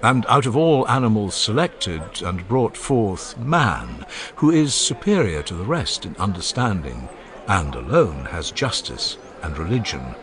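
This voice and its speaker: A man playing an elderly philosopher in a dramatized audiobook, speaking in a formal, measured, declamatory manner. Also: on the low side, very animated. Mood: solemn, stately. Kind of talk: dramatized audiobook of ancient oration.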